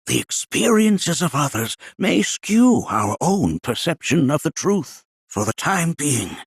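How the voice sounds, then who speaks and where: An actor voicing a wise, kindly old scholar in a video game, with a raspy, aged voice and a ponderous, formal manner. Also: male voice, fairly high pitch, big pitch swings, slow, no echo, clean studio sound, heavily acted. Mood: philosophical, grave. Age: older. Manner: ponderous, formal, archaic storyteller phrasing. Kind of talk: video game character voice acting.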